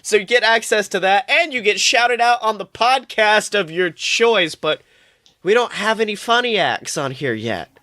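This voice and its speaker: Podcast host from the us, bright punchy voice, super dry sound, rambles and jokes and swears a lot. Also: medium pitch, animated, normal speed, no room sound. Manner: profane, joking, rambling storytelling. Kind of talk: casual comic podcast chat.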